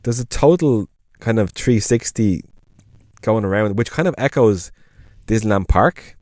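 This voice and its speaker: Irish male podcast co-host, lower register, eager and meandering delivery. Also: expressive, a little slow, darkish tone, dry recording, clean studio sound. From Ireland. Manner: enthusiastic, rambling, self-correcting. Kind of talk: casual chat.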